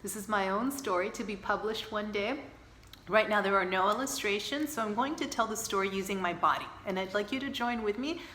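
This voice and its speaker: A woman's voice: mid-range voice, brisk, pushed and bright. A woman telling a children's story expressively, guiding young listeners to act along with her. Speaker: storyteller, author reciting own story. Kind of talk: children's storytime with movement cues. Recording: roomy echo, faint background noise.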